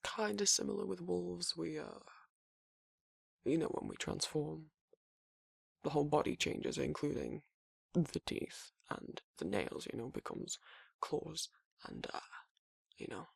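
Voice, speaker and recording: A man voicing a gruff, laid-back werewolf boyfriend in an intimate ASMR roleplay, with a husky gravelly voice and a halting, rambling, casual delivery. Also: fairly high pitch, expressive, no room sound, clean studio sound, heavily acted. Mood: casual, reassuring.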